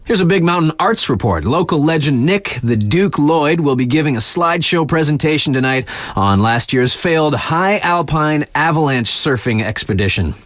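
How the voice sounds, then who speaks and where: A man's voice: a little high, lively intonation, normal speed, hardly any pauses, fairly bright tone, no echo. A man playing a laid-back, sardonic young radio DJ in a video game, reading local news in a wry, slangy, conversational way. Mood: upbeat, sardonic.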